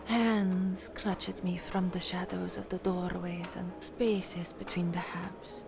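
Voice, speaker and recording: An actress playing an anxious, earnest young woman from the slums in a dramatic first-person audio story, measured and introspective. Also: on the low side, level tone, creaky, over music. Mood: weary, tense.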